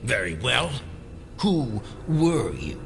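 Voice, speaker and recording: An actor playing a proud, formal elder of a fallen secret cabal in an audio drama. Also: male voice, medium pitch, very animated, takes it slowly, gravelly, exaggerated voice. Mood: guarded authority. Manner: formal, grave.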